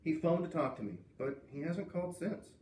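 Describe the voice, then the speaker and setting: A man's voice: a little high, lively intonation, brisk, a little mellow, natural voice. A man reading aloud the part of an earnest, bookish schoolgirl confiding in a classmate, in a children's novel. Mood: hurt, candid. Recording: roomy, distant mic, faint background noise.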